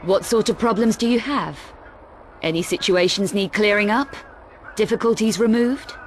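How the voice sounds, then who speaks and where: An actress plays a tough young female adventurer in a video game cutscene. Her delivery is dry and deadpan, with a sardonic, threatening edge. There is clear noise behind her medium-pitched voice. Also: moderate pace, close to an everyday voice. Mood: cool, threatening.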